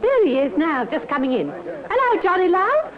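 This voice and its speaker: An actress playing a cheery, familiar pub barmaid in an old-time radio drama, chirpy in manner. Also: female voice, very high-pitched, sing-song, normal speed. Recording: tinny vintage radio, pub crowd behind.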